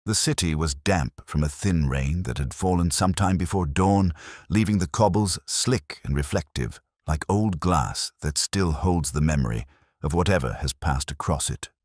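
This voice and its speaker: Male narrator, bottom-register voice, close dry sound, scripted detective fiction, measured, formal, brooding storytelling. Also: low voice, a little mellow, dry recording.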